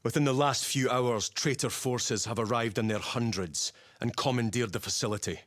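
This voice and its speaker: An actor playing a stern, battle-hardened Space Marine commander in a video game, giving terse, authoritative orders in a gritty voice. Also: male voice, medium pitch, normal speed, a bit bright, natural voice. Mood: grave, commanding. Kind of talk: dramatic video game voice acting, mission briefing.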